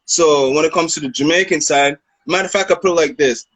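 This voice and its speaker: A man in his thirties from Canada, a rapper, talks in a relaxed, rambling way and keeps checking in with "you know what I mean". His voice sits a bit high and sounds dry and close. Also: quick pace, no room sound. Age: 30s. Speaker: rapper guest. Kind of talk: casual interview storytelling.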